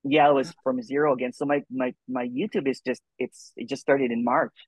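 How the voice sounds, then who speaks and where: A man's voice: mid-range voice, steady tone, brisk, no echo. A man, chatting casually on a video call with lots of "you know" and "kinda like". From Philippines. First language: Filipino. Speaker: non-duality speaker and YouTuber. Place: room with a gray wall indoors. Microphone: webcam on a video call. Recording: dull lo-fi webcam audio.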